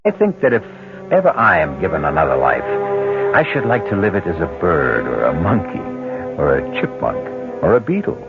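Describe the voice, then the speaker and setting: A man hosting a radio mystery drama as a wry, philosophical narrator, musing and deliberate in his delivery. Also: sits low, huge pitch swings, full character voice. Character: wry, philosophical male ghost-story host. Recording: muffled old radio broadcast over music.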